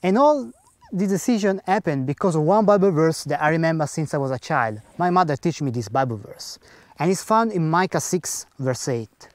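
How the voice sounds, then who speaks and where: A man's voice: fairly high pitch, expressive, normal speed, dry recording. Earnest non-native man telling his story. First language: not English. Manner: earnest storyteller who acts out the dialogue, in simple non-native grammar. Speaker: speaker giving a personal testimony. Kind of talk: personal faith testimony storytelling.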